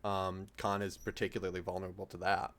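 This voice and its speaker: A man giving casual, analytical commentary in a halting way, restarting as he picks his words. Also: sits low, completely flat delivery, fairly bright tone. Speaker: audio drama cast or crew member giving commentary.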